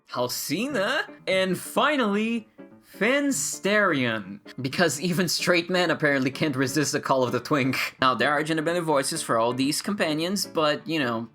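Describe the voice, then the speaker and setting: A woman's voice: low-pitched, animated, measured pace, fairly bright tone, faint music behind. A woman giving casual, sarcastic commentary on game mods, with playful mock-dramatic rants. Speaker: gaming video creator.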